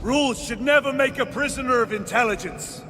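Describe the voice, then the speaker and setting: High-pitched, urgent warrior. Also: male voice, brisk, background noise. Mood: intent. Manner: terse, formal, urgent. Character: earnest, vigilant male soldier of armoured brotherhood. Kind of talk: dramatic video game acting. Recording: music behind the voice.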